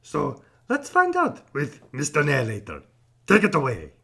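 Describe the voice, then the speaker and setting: Raspy, very animated male kids' host. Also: fairly high pitch, measured pace. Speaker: show host introducing the narrator. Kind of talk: children's show presenting.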